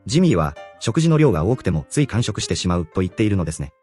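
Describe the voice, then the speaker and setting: Rapid, lively man narrating a lesson. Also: deep voice, loud music behind the voice. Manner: brisk, explanatory. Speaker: English-learning narrator.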